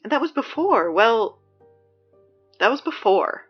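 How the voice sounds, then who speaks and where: A woman's voice: a little high, very animated, a little slow, husky, muffled recording, soft background music, exaggerated voice. A woman playing a dry, sarcastic teenage girl who reads the school announcements in an audio drama. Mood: chatty.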